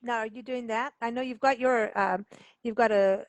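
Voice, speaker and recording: A woman's voice: on the high side, steady tone, normal speed, dry recording. A woman hosting a casual radio interview, chatting in an informal, enthusiastic and slangy way. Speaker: radio show host.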